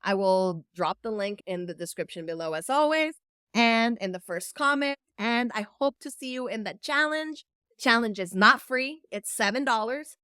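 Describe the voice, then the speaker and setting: A woman's voice: fairly high pitch, expressive, moderate pace, pushed and bright, smooth, no room sound, clean studio sound. A woman from El Salvador whose first language is Spanish, an event producer giving an earnest, encouraging talk to camera and stretching words for emphasis. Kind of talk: direct-to-camera motivational talk.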